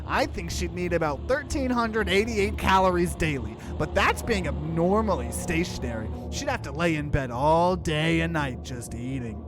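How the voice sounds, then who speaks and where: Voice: mid-range voice, lightly acted. Game streamer voicing a blunt, sardonic talking potato. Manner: lecturing, sardonic. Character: blunt, scolding talking potato, mock-helpful survival lecturer. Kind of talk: acted visual novel reading.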